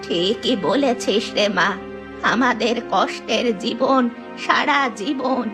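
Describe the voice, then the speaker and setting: A woman's voice: a little high, takes it slowly, music in the background. A woman voicing a sorrowful, anxious old village grandmother in a cartoon, speaking in a lamenting way. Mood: sorrowful, worried. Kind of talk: dubbed cartoon dialogue.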